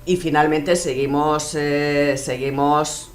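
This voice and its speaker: A woman's voice: sits low, level tone, slow pace. A woman from Spain with a Madrid accent, a journalist and filmmaker, speaking thoughtfully as a radio interview guest with frequent hesitations. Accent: Madrid Spanish. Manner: thoughtful, hesitant with many fillers.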